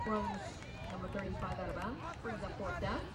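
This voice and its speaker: High-pitched male football announcer, echoey. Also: fairly even intonation, fairly quick. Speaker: stadium announcer. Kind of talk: live American football play-by-play announcing. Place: outdoor football stadium. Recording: echoey outdoor PA with loud crowd noise. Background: loud crowd and outdoor noise.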